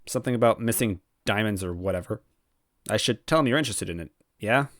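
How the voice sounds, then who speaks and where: A man's voice: lowish voice, animated, fast, fairly bright tone, dry recording, studio quality. A man voicing a lazy, indifferent young woman in a story reading, sounding groggy and offhand. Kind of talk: character dialogue in storybook reading. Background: background music.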